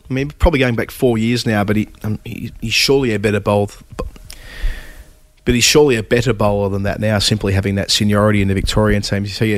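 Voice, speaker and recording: A man, hoarse and raspy from a cold, hosting a casual cricket chat full of scores and restarts. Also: lowish voice, no echo. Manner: stat-heavy, with frequent fillers and restarts. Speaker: cricket podcast host.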